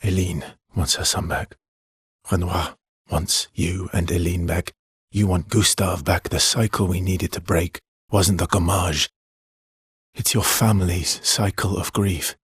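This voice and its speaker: Husky, low-key weary male adventurer. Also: fairly even intonation, a little slow, no room sound, studio quality, full character voice. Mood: earnest, regretful. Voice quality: husky, breathy. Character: weary, gentle, guilt-burdened adult male adventurer. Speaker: video game voice actor. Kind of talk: video game dialogue lines.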